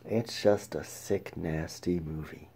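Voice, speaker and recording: A man hosting a casual film review show, talking in a halting way with restarts and throat clearing. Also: deep voice, fairly even intonation, warm tone. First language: English.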